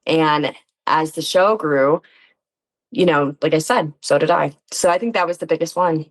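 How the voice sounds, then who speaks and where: Low-pitched woman in casual interview chat. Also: normal speed. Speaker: interview guest, actor and musician.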